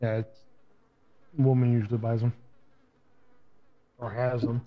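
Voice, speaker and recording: A man's voice: mid-range voice, level tone, measured pace, phone-quality sound, lightly acted. A man playing a captured, unrepentant henchman in a game roleplay, giving guarded, casual, matter-of-fact answers over voice chat. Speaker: role-player in an online game. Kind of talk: improvised roleplay interrogation.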